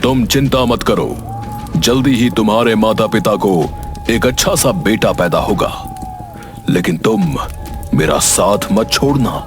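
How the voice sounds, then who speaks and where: Man as a slow, deep scheming old sorcerer. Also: lightly acted. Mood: coaxing. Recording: loud music behind the voice.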